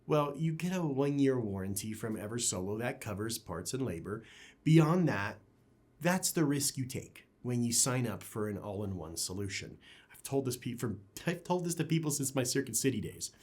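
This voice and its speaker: A man's voice: mid-range voice, sing-song, normal speed, darkish tone, slightly rough. A man hosting a product review channel, talking in a conversational, opinionated way with emphatic phrasing. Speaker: audio gear reviewer and channel host.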